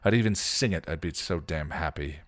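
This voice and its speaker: Guy doing a snarky punk vampire, tired and sarcastic, real deep voice. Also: normal speed, no room sound. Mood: weary. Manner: slangy, sarcastic, weary. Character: sardonic grieving male punk vampire. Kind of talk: fan fiction reading.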